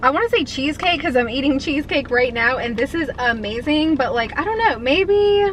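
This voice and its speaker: A woman's voice: high voice, lively intonation, fairly quick, a little hiss or hum. A woman in her twenties chatting casually and eagerly while eating on camera, answering viewer questions. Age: 20s. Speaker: food vlogger. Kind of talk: casual mukbang chat.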